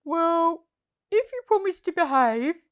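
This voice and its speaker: A woman voicing a kindly, patient man from a storybook, in a gentle, homely manner. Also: extremely high pitch, lively intonation, slow pace, pitched above the performer's own voice, heavily acted. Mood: accommodating. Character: kindly, patient man living in park hut.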